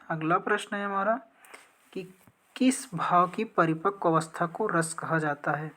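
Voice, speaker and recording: Lower-pitched woman teaching quiz questions. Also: a bit bright. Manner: instructional, often asks questions and answers them herself. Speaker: teacher, exam coaching instructor. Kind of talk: explaining practice quiz questions step by step.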